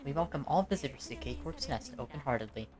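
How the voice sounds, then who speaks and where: A woman's voice: a bass voice, animated, fast talker. A woman voicing a formal, politely authoritative border checkpoint announcer in a game story, with music behind.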